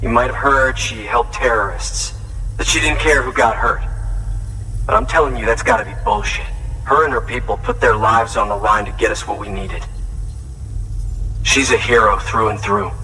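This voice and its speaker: An actor playing an earnest, grateful shelter-born inventor in a video game, speaking in an emphatic, conversational way. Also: male voice, mid-range voice, normal speed, pauses often, pushed and bright, distant mic, a little hiss or hum, natural voice, poor audio. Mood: earnest, insistent. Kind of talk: video game cutscene acting.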